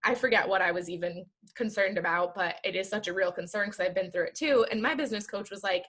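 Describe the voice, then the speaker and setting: A woman's voice: medium pitch, fast, a bit bright. A woman guest on a podcast talking about her work as an entrepreneur, in an earnest, chatty way with many "like" fillers. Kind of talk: casual interview chat. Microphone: video call.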